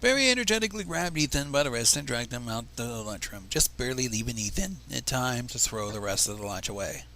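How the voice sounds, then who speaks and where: A man reading a story aloud on a livestream in an expressive, informal, theatrical and irreverent way. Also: medium pitch, a little fast, few pauses, slight background noise. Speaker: fan fiction reader on a livestream.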